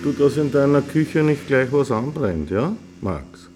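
An actor playing a smug, scheming small-town official in a radio drama, with a sardonic, slippery way of talking. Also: male voice, mid-range voice, big pitch swings, a little slow, background noise. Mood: smooth, sly.

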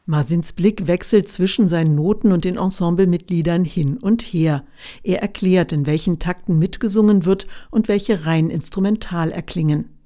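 A woman reporter reading a scripted radio feature in a calm, measured way. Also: lowish voice.

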